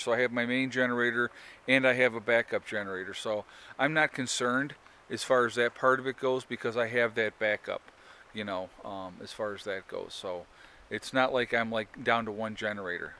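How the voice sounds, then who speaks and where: A man's voice: medium pitch, fairly bright tone, slight background noise. A man in his forties talking casually to a handheld camera outdoors, rambling with many fillers and restarts. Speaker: homesteading vlogger. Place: outdoors in woods on a sunny day. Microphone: phone or camera held at arm's length.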